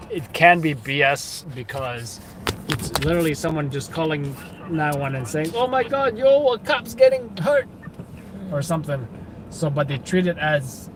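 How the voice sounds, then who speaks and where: A man's voice: on the high side, background noise. A man hosting a casual live commentary, chatting and explaining police radio codes while watching footage. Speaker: host.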